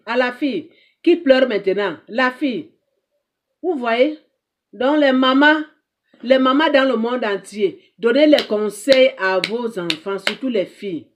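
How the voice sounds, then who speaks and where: Emphatic preachy woman. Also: fairly high pitch. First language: not French. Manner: emphatic, preachy, repeats herself, rhetorical questions. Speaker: advice show host. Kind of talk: advice monologue to mothers.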